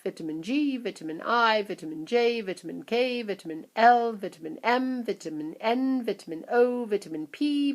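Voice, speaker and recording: A woman's voice: fairly high pitch, voice pushed up. A whimsical, eccentric candy-maker with a breezy, sing-song delivery that bounces around, put on by a woman reading aloud. He sounds cheerful and a bit mad.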